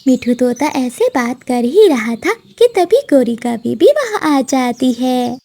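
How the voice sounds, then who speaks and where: A woman's voice: high voice, sing-song, slow, creaky, dark tone. A woman narrating a scripted children's story as the storyteller.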